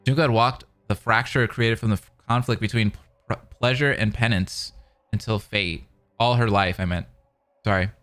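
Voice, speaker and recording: A man's voice: fairly low pitch, a bit bright. A man hosting a reaction video, reading visual novel narration aloud with casual, slangy commentary. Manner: informal, slangy asides.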